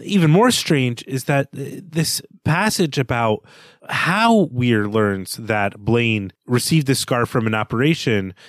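A man speaks at a middling pitch in a relaxed, casual discussion, pausing often and restarting his thoughts. The sound is dry and close, with no room echo. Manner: thoughtful, hesitant, with frequent fillers. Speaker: podcast co-host.

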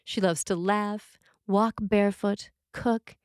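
A woman priest reading a written sermon aloud in a measured, earnest way. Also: medium pitch, steady tone, no echo, studio quality. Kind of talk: read sermon.

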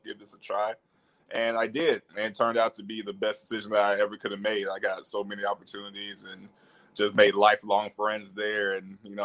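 A man's voice: fairly low pitch, a bit bright. A young man from Alabama chatting casually and informally as an interview guest. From Alabama, United States. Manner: informal, peppers talk with "you know". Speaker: interview guest, media professional. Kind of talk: casual chat.

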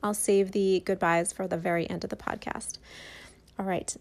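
A woman's voice: mid-range voice, flat delivery, moderate pace. A woman hosting an art podcast, talking casually and enthusiastically with lots of fillers. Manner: enthusiastic, filler-heavy, rambling. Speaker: podcast host. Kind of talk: casual podcast intro and interview.

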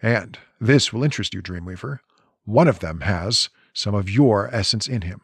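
A man's voice: on the low side, moderate pace, husky, no echo, studio quality, voice pushed down. A podcast host reading aloud the lines of Odin, an ancient, weary god king, from a comic. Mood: brooding.

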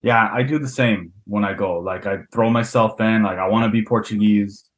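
A man's voice: fairly low pitch, normal speed. A man with a Canadian accent, a podcast guest chatting casually and acting out the people in his stories. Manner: relaxed storytelling, acts out quoted voices.

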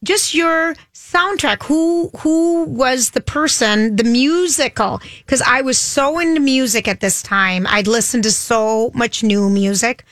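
A woman co-hosting a radio show, telling long rambling stories in a chatty, loud-voiced way. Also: high voice, unhurried, hardly any pauses, dry recording, studio quality. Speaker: radio co-host. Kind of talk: casual radio chat. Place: radio studio.

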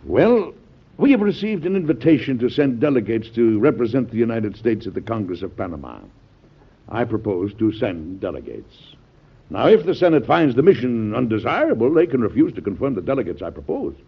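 An actor playing a principled, stubborn American president in an old-time radio drama, with an earnest, formal, declamatory manner. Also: male voice, medium pitch, big pitch swings, a little fast, breathy edge. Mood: earnest, resolute. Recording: muffled vintage radio recording.

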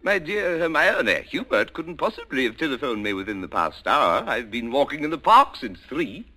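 A bewildered, well-mannered gentleman in 1940s radio style. His bright voice jumps about as he politely splutters. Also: mid-range voice, very animated, moderate pace. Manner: spluttering, old-chap politeness. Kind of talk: 1940s radio drama acting.

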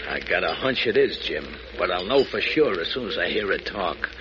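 An actor playing a rough, confident pal who talks in clipped slang, in a 1940s radio serial. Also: male voice, low-pitched, expressive, normal speed. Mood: alert, confident. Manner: slangy, clipped tough talk. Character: rough, breezy, confident male tough-guy pal. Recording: noisy muffled old radio recording.

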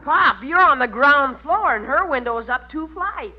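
A woman's voice: sits high, animated, normal speed. A woman playing an eager, cheeky young son in an old radio sitcom. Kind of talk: 1940s radio sitcom acting. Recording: muffled old radio recording.

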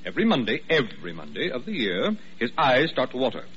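An actor playing a fussy, anxious hospital administrator doctor in old radio drama, deferential and emphatic. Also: male voice, mid-range voice, wildly swooping pitch, fast, fairly bright tone. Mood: portentous. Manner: fussy, fretful, emphatic repetition. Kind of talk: 1940s radio drama acting. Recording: muffled old radio recording, faint noise.

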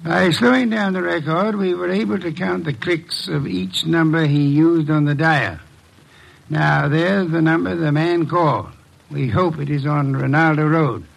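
Bossy genius detective in old radio show, higher gravelly male voice, very formal n deliberate. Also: steady tone, full character voice, rough recording. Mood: deliberate, brisk. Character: imperious, shrewd, ponderous gentleman detective. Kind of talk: old-time radio drama acting.

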